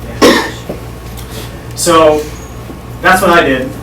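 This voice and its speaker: A middle-aged man, telling students his story in a relaxed, conversational way. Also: fairly high pitch, very slow. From Sweden. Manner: relaxed, conversational, addresses the audience directly. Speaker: speaker at a school assembly. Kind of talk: personal story told to students. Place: school hall. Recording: echoey hall from far off, noisy room.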